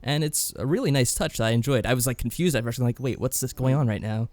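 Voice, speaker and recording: Fast-talking man on a podcast. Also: mid-range voice, darkish tone, no echo. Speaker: podcast co-host. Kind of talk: casual discussion and review.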